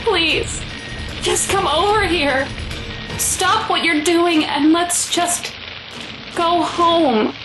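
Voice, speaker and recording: A woman's voice: very high voice, very slow, pauses often, exaggerated voice, low-quality recording. A woman playing a worried, pleading mother in an audio drama, anxious and earnest. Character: worried, pleading mother of a dangerous teenage son. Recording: music under the voice.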